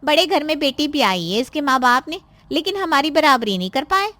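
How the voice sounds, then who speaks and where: A scheming married daughter from a cartoon family drama, acted by a woman, pitched fairly high. She nags, complains and insinuates with open spite. The sound is close and dry, with no room around it. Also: animated, a little fast, sharp tone, dry recording. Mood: complaining, indignant. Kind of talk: Hindi moral-story cartoon voice acting.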